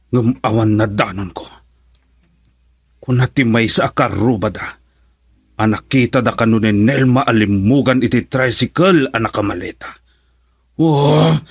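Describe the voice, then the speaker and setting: A man's voice: medium pitch, breathy edge, no echo, clean studio sound. An actor with a Filipino Ilocano accent playing a stern, wealthy, controlling father who scolds indignantly in a radio drama.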